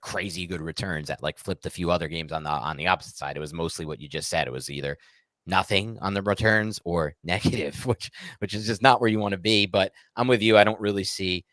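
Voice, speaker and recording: A man's voice: low voice, fast talker, fairly bright tone, no echo, studio quality. An American man co-hosting a sports talk show, chatting casually in a rambling, opinionated and emphatic way. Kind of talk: casual live Q&A chat about American football.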